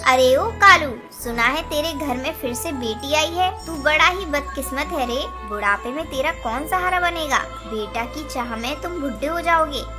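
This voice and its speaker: A woman puts on a sly, spiteful parrot wife in a kids' cartoon, talking in a taunting, scheming way. Her voice stays high and a little hushed, with music clearly behind. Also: lively intonation, moderate pace, few pauses. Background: background music.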